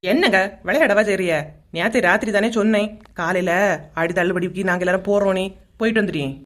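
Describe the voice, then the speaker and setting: A woman playing a touchy, sarcastic young wife in a cartoon comedy, quick to retort, with a Kanyakumari Tamil accent. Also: medium pitch, natural voice. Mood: indignant.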